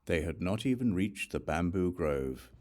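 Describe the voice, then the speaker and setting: A man reading scripture as an audiobook narrator, in a measured storytelling way with expressive dialogue. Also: low-pitched.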